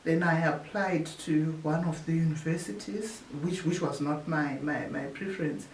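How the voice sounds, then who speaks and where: A woman from Zimbabwe, a researcher telling her story in an interview, often pausing and restarting her phrases. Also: low-pitched, fairly even intonation, a little mellow. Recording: echoey room, distant mic, faint hiss.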